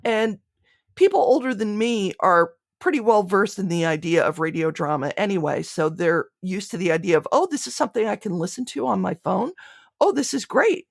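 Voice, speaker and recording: A woman talks conversationally as an interview guest. Her mid-pitched voice carries noticeable vocal fry. The sound is dry, with hardly any room on it. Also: expressive, normal speed, no echo. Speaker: podcast guest, audio drama creator.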